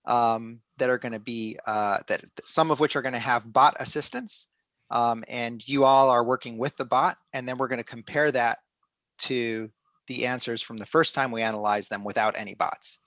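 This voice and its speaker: A middle-aged man hosting a live online stream, explaining the project in a relaxed way with many restarts and fillers. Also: mid-range voice, normal speed, no echo. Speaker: host of a live citizen-science stream.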